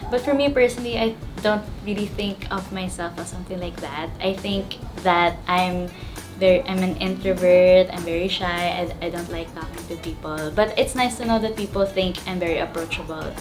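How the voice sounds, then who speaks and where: Young woman from Texas, lower register, chatty and upbeat; music bed audible. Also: steady tone, normal speed. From Texas, United States. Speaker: university student interviewee. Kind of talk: casual interview answers.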